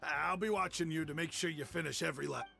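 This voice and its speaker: A gossipy, teasing prison inmate from an anime game. His voice is forceful and fairly high with a creaky grain, bantering over music. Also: quick pace, heavily acted.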